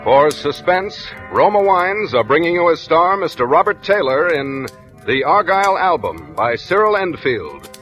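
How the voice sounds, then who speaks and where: Theatrical American announcer over loud music. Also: male voice, a little high, very animated, measured pace. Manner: formal, theatrical introduction. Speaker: radio show announcer. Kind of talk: 1940s dramatic radio announcing. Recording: dull lo-fi vintage 1940s radio recording.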